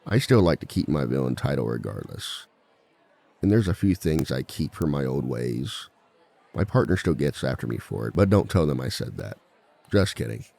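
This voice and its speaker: A man playing a reformed villain who lectures at a seminar on romance in a measured, articulate, formal and dryly self-assured way. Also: very deep voice, very animated, vocal fry, a little mellow, full character voice. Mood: wry, playful. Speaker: seminar speaker and relationship expert. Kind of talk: scripted comedic lecture roleplay.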